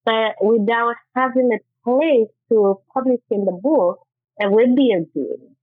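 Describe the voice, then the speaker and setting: Lady telling her story, halting and earnest, thin phone-line sound, dry. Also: a little high, dry recording. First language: Haitian Creole. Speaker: guest author. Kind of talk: interview, personal testimony.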